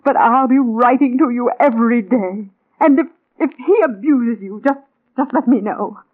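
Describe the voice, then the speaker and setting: A woman's voice: a little high, moderate pace, natural voice. An actress in a 1940s radio drama playing a clinging, imperious, suspicious middle-aged mother-in-law. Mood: fussy clinging. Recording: narrow tinny old radio recording.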